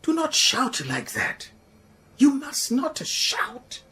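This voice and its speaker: A woman voicing a gentle, soft-spoken middle-aged man, placating and unhurried. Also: high-pitched, sing-song, exaggerated voice. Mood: pleading. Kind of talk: radio drama acting.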